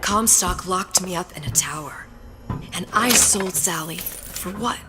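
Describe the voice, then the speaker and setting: An actress playing a haunted, guilt-ridden but determined young woman in a video game, with a hushed, brooding delivery. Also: on the low side, unhurried, close to an everyday voice. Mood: bitter, resigned. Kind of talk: dramatic video game acting. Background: music.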